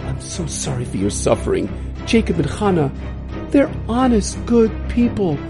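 A woman voicing a loyal, earnest young man who speaks quickly and emphatically. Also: medium pitch, very animated, over music, voice pushed up.

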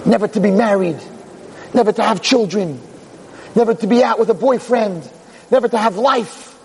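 A man's voice: high-pitched, normal speed, voice pushed up. A man playing an earnest underdog assistant prosecutor, pleading with rising oratory in a courtroom story. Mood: impassioned. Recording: clear background noise.

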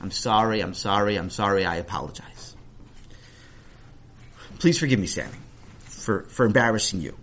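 A man voicing a hesitant, placating boy in a children's story, subdued and stammering, with a husky voice. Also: lowish voice, normal speed, long pauses, a bit bright, dull audio, a little hiss or hum, voice pushed down. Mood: apologetic. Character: hesitant, placating older brother, apologetic boy.

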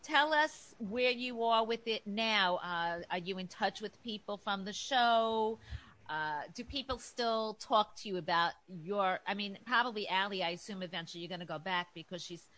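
A woman's voice: medium pitch, normal speed, fairly bright tone, low-quality recording. A woman with a New York accent hosting a casual chat, often cutting in to steer the talk. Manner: chatty, cuts in often. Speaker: host.

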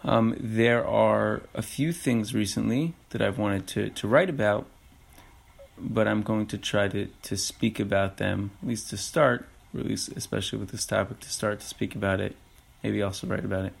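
A man speaks alone into a podcast mic in a low, gravelly voice. He thinks aloud and backs up often to restart his sentences. He talks in a reflective, unhurried way. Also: steady tone. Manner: halting, many restarts and fillers. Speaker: podcast host. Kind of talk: reflective solo podcast talk.

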